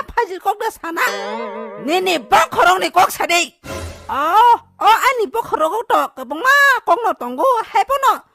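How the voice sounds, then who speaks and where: A woman voicing an excitable, squeaky cartoon character with an animated, exclamatory delivery in a dub. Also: gravelly, no echo, exaggerated voice. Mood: excited chatter. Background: faint music.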